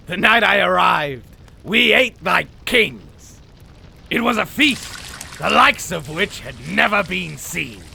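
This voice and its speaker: A man playing a sinister, boastful old demon in a comic dub, telling tales in a raspy, gravelly, theatrical voice. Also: high-pitched, pushed and bright, exaggerated voice. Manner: theatrical, grandiose storytelling. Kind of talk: comic dub villain acting.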